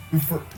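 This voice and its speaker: Slow, halting gamer over loud game music. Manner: halting, repeats phrases while improvising. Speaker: gaming video commentator. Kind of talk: casual let's play commentary mixed with improvised role-play dialogue. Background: loud video game music.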